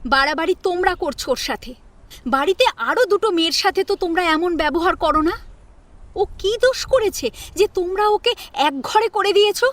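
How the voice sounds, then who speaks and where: A woman's voice: extremely high pitch, lively intonation, moderate pace, fairly bright tone, voice pushed up. A woman playing an affectionate, outspoken married sister-in-law in an audio story, assertive and pleading by turns. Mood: indignant, firm. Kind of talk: Bengali audio story acting.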